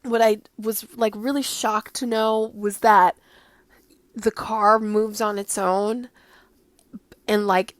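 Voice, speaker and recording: A teenage girl hosting her own podcast, slightly hoarse from a cold, chatting casually and rambling with lots of fillers. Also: medium pitch, little pitch movement, slow, long pauses, no echo. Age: teens. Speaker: podcast host. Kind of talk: casual solo podcast chat.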